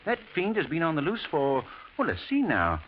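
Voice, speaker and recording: Through a muffled, faintly noisy vintage broadcast comes a chatty English gentleman. His British-accented delivery is animated and polite, with a gossipy ease typical of a radio play neighbor. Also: on the high side, normal speed. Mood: confiding, gossipy. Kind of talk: 1940s radio acting.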